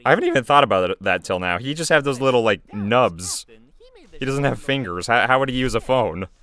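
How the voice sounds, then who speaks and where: A man's voice: medium pitch, animated, brisk, fairly bright tone. A young man, a web series creator and voice actor, giving casual, chatty, joking video commentary.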